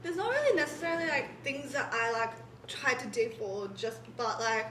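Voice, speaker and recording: A woman's voice: on the high side, expressive, moderate pace, a bit bright, a little hiss or hum. A twenty-year-old woman, a podcast guest, rambling in casual chat, filling her sentences with "like" and "in a sense". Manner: rambling, filler-heavy, says "in a sense" a lot. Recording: echoey room.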